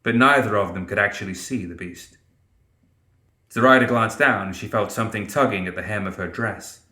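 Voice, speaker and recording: A male audiobook narrator with a fairly low, forceful voice. He reads scripted fiction dramatically, in a room with noticeable echo around the voice. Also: normal speed.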